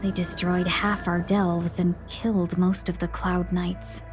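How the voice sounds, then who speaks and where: An actress playing a calm, solemn young woman guide in a video game, speaking politely and formally. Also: fairly low pitch, measured pace, lightly acted. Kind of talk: video game dialogue acting. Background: soft game music.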